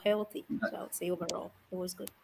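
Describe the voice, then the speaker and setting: A young woman from Ghana, a guest on an interview show, cheerful and soft-spoken, laughing often as she talks. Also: fairly low pitch, little pitch movement, fast, creaky, background noise. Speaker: guest, event organizer. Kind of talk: casual interview.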